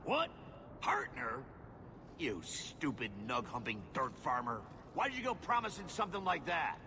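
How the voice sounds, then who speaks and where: A man voicing a gruff, suspicious, money-minded dwarf expedition boss in a video game, blunt and brusque. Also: on the high side, huge pitch swings, normal speed, background noise. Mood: irritated. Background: loud music.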